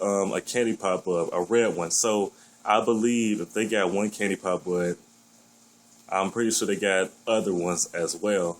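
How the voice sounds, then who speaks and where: A man with a deep voice giving his blunt, opinionated take on a new game in a casual vlog, swearing freely as he gripes. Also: low-quality recording. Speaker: gaming vlogger.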